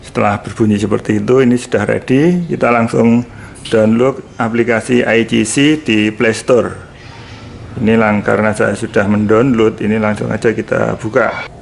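A man's voice: fairly low pitch, fairly even intonation, normal speed, creaky. A man giving a casual hands-on product demonstration, repeating short phrases as he goes. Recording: noticeable background noise.

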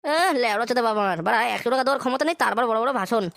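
A man's voice: extremely high pitch, animated, rapid-fire, vocal fry, fairly bright tone, dry recording, full character voice. A man voicing an idle, wheedling, foul-mouthed grown son in a crude comedy cartoon. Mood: resentful. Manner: whining, foul-mouthed, grumbling.

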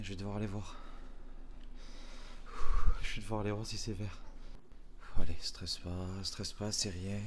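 A man voicing a panicked young male smuggler hiding from police, swearing constantly, stammering and often dropping to hushed whispers. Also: deep voice, noisy background, pitched below the performer's own voice. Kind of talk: improvised roleplay acting.

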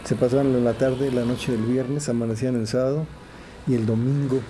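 A man's voice: mid-range voice, steady tone, normal speed, noisy background. A man with a Mexican accent recalling old colleagues in anecdotes during an interview.

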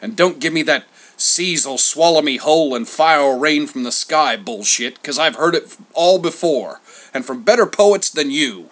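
A cantankerous old storybook poet, performed by a man. He blusters and grumbles gruffly, his pitch leaping about in a very animated way. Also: measured pace. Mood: grudging, gruff. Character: cranky, blustering old male poet with a staff.

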